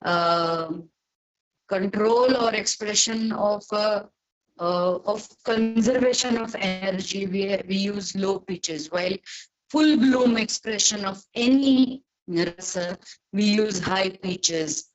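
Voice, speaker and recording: A woman with an Indian accent gives an online lecture as a voice acting teacher. She speaks slowly and deliberately, with halting phrases as she explains. Her pitch sits in the middle of the usual female range. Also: lo-fi sound. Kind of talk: webinar lecture with demonstrations.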